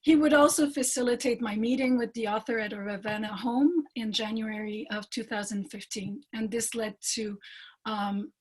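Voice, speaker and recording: Husky-voiced woman giving a research talk. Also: medium pitch, poor audio. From Montreal. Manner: measured, with frequent fillers and restarts. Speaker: doctoral fellow presenting research.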